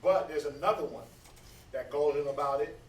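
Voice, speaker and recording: A man's voice: on the high side, moderate pace. Man in his thirties, echoey distant room. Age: 30s. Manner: conversational, preachy, stammers and repeats words. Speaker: Bible study speaker. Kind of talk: casual teaching talk. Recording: echoey room, distant mic, faint noise.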